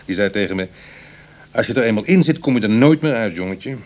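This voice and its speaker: An actor playing a charming, self-mocking ex-burglar in a Dutch radio drama, with a Netherlands accent and a wry, chatty manner. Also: male voice, lowish voice, animated, fairly quick, a little mellow, lightly acted. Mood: wry, confiding. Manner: teasing, hesitant asides, chuckling irony.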